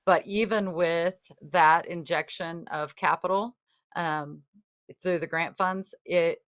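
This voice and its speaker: A woman's voice: fairly low pitch, steady tone, takes it slowly, a bit bright, no echo. A woman testifying before a legislative committee, answering questions plainly with frequent ums and restarts. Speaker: local housing official testifying.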